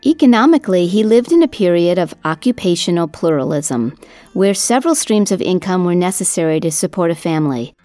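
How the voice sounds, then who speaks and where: A woman reading scripted storytelling narration for a folk history podcast in a measured, literate manner. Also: fairly low pitch, sing-song, dark tone, no echo. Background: music under the voice.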